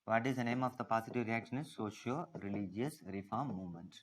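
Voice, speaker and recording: A man's voice: on the low side, a little fast, slight background noise. A man with an Indian English accent giving a classroom history lecture, emphatic and often asking the class whether they follow. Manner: emphatic, asks checking questions often. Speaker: history teacher.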